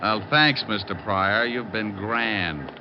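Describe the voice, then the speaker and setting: An actor playing a brash, wisecracking private detective with an American accent, breezy and flippant in 1940s radio style. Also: male voice, lowish voice, slow pace, noisy background. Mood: sardonic. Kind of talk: 1940s radio acting, hard-boiled first-person narration. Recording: dull old radio recording with music.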